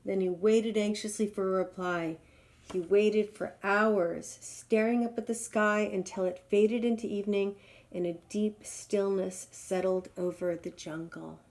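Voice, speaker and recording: A woman's voice: medium pitch, steady tone, a little slow, darkish tone. A woman reading a children's storybook aloud expressively. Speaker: storybook reader.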